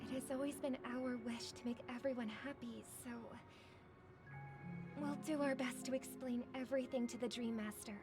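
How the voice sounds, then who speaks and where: An actress playing a gentle, caring, earnest young woman in a video game, speaking politely. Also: high-pitched, fairly even intonation, normal speed, loud music behind the voice, lightly acted. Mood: earnest, determined. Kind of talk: dramatic video game voice acting.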